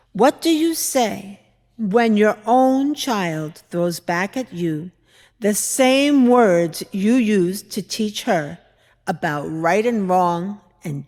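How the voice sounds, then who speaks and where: A woman performing a dramatic monologue as a skeptical older mother-in-law from biblical times, telling her memories in a deliberate, expressive, reflective way. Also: a little high. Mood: doubtful, wry. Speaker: dramatic reader at a church service. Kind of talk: dramatic monologue, measured storytelling. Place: large church interior. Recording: echoey church hall, long reverb.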